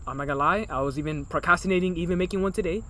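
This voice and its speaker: Young podcast co-host from Miami, early twenties, loose and rambling, light noise underneath. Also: male voice, on the high side, rapid-fire, pushed and bright. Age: early 20s. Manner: loose, rambling, addresses viewers as guys. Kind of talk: casual podcast chat.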